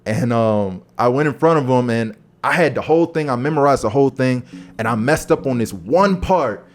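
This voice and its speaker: Guy with a deep voice telling an audition story, animated. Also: normal speed. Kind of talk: casual chat.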